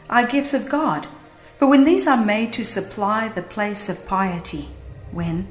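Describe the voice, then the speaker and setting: A woman reads a scripted religious audiobook in a solemn, earnest and measured delivery. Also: mid-range voice. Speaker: audiobook narrator. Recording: roomy echo with music behind. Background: music.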